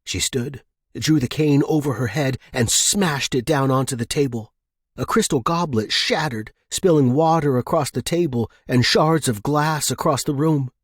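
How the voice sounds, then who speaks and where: A man narrating scripted suspense fiction in a measured, dramatic manner, as an audiobook reader. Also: medium pitch, breathy edge, no room sound, clean studio sound.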